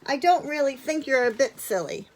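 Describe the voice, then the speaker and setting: A woman's voice: high-pitched, lively intonation, moderate pace, darkish tone, pitched above the performer's own voice, low-quality recording. A woman voicing an emotional little girl, exclaiming and earnest, in a children's storybook. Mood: sorry, affectionate. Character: little girl, lonely, emotional, defiant yet affectionate.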